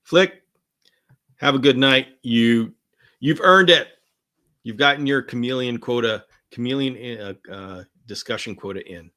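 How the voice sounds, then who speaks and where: A man hosts a live question session, answering viewers in a loose, enthusiastic ramble full of false starts. His tone is bright and forward, though his voice sounds worn and is starting to go hoarse. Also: mid-range voice, unhurried. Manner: enthusiastic, rambling with frequent restarts. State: voice going hoarse. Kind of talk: live Q&A chat.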